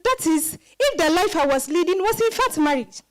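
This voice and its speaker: A woman performing a reflective wife who recounts a disappointing marriage in a dramatized reading, with expressive storytelling emphasis. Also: very high-pitched, moderate pace. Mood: weary, rueful. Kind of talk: dramatized first-person literary monologue.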